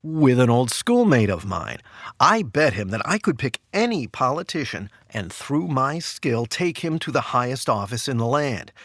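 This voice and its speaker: A man's voice: mid-range voice, very animated, normal speed, a bit bright, no room sound, clean studio sound. A man playing a flustered, exasperated married political aide in a comedic audio drama, speaking hesitantly with sighs. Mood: sheepish.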